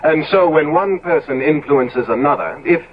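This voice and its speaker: A man's voice: fairly high pitch, expressive, normal speed, lightly acted. Guy playing a curious young victorian gent, very up and down, polite, thin old radio drama audio. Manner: polite, questioning. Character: handsome, naive, curious young Victorian gentleman. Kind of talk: 1970s radio drama acting. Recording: tinny, phone-like old radio recording.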